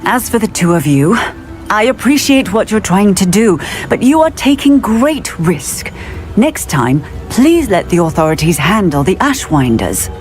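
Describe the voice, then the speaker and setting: A woman's voice: mid-range voice, sing-song, measured pace. Stern female magical officer, authoritative, swooping intonation, game cutscene, light music behind. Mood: admonishing. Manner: authoritative, formal. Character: stern, protective adult female wizard police officer. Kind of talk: video game voice acting.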